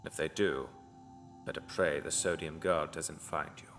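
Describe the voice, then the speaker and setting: A man playing a mysterious, grim healer in an audio drama, commanding yet consoling, with measured, ominous, formal phrasing. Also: rumbling low, steady tone, fairly bright tone, faint music behind, exaggerated voice. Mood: grave, probing.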